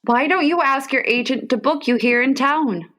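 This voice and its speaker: A woman's voice: high voice, normal speed, fairly bright tone. A woman playing a patient, placating wife, soothing and long-suffering, in a comic radio play. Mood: gently insistent. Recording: clear background noise, clicks, remote recording.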